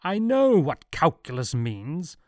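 A man's voice: fairly low pitch, moderate pace, smooth, dry recording, clean studio sound, lightly acted. A man voicing an imperious, jealous older scientist with a theatrical manner in an audiobook story. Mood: thundering outrage.

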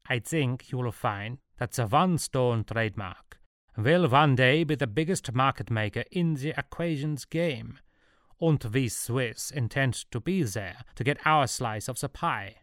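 A man's voice: lowish voice, lively intonation, moderate pace, dry recording, clean studio sound. A man voicing a proud, middle-aged Swiss merchant, a slick hustler in an audiobook story. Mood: sly, boastful.

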